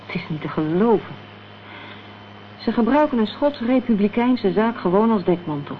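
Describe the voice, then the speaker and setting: A woman's voice: medium pitch, expressive, moderate pace, natural voice. A woman acting a concerned, questioning companion in a Dutch radio crime drama. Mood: puzzled. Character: worried, inquisitive female companion in a crime thriller. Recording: dull, noisy old radio play recording.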